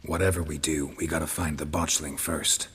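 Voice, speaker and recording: An actor playing a stoic, cynical monster hunter in a video game, speaking in a curt, cold deadpan with a gravelly, husky voice. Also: male voice, very deep voice, normal speed, exaggerated voice. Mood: resolute, dry. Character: stoic, cynical monster-hunting witcher, hardened man. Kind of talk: understated video game acting.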